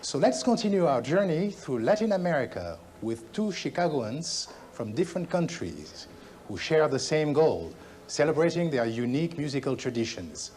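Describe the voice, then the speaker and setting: A man reading scripted documentary narration in a smooth, informative manner. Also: a little high, sing-song, moderate pace.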